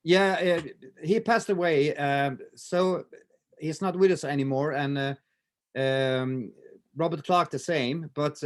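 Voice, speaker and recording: Swedish-accented man in his fifties, slightly raised pitch, halting speech full of fillers; martial arts guest on a video call. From Sweden. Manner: halting, many fillers and restarts. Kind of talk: casual interview chat.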